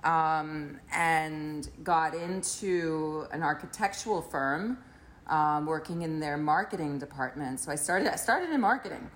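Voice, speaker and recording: A woman in her forties from Trinidad, chatting as a podcast guest, thoughtful with many pauses. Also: low voice. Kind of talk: casual interview chat.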